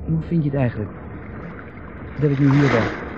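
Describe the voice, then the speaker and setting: Male actor as doubting younger brother in his thirties, Netherlands Dutch, needling questions, exasperated, medium pitch, noisy old radio drama. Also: moderate pace, lightly acted. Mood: needling, doubtful. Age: 30s. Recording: noisy, dull vintage radio recording.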